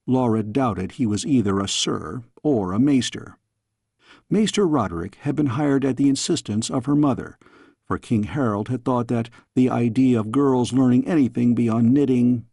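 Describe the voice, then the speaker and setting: A man reading a story as an audiobook narrator, in measured, expressive storytelling style. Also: mid-range voice, vocal fry, slightly rough, no room sound, clean studio sound.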